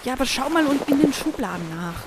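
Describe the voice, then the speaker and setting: A young woman co-hosting a podcast, acting out a playful spy adventure in an excitable, giggly way. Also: sits high, fast, noisy background. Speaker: podcast co-host. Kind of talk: playful improvised adventure role-play.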